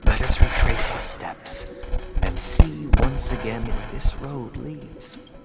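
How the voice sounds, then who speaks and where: An actor playing a composed, eloquent young man in a game cutscene, speaking in a measured, formal, softly persuasive way. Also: medium pitch, gravelly. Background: loud game soundtrack music.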